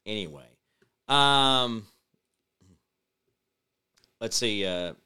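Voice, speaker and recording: A man's voice: on the low side, expressive, extremely slow, pushed and bright, clean tone. A man hosting an online political commentary show, rambling and scornful, trailing off and restarting, reading viewer comments aloud and replying to them. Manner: stumbles over names and repeats them to get them right. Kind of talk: unscripted political commentary monologue.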